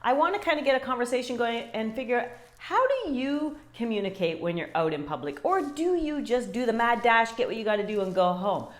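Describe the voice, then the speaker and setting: A chatty woman vlogger with a loud voice speaks straight to her viewers. Her pitch swings up and down a lot as she talks, and she keeps asking them questions. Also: animated, fairly quick. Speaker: wellbeing coach and vlogger. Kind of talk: casual direct-to-camera talk.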